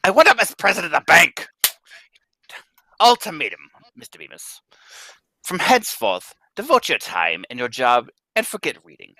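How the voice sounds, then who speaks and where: A man's voice: a little high, wildly swooping pitch, normal speed, creaky, pushed and bright, no room sound, exaggerated voice, rough recording. A man reading the part of a stern, pompous bank president in a live radio-play reading, lecturing emphatically. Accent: American. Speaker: live script reader.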